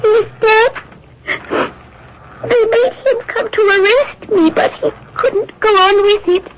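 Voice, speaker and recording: Young wartime resistance woman, very high voice, earnest and strained, radio play, clear background noise. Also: steady tone, slow. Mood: distraught confessing. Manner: earnest, dramatic, emotionally strained. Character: young anguished French resistance woman smuggling children. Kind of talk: radio drama acting. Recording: noisy old radio broadcast recording.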